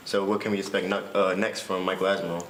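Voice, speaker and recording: Fast-talking young student interviewer. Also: male voice, low-pitched, level tone, fairly bright tone, reverberant, slight background noise. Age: young adult. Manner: quick, informal questions. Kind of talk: casual interview hosting.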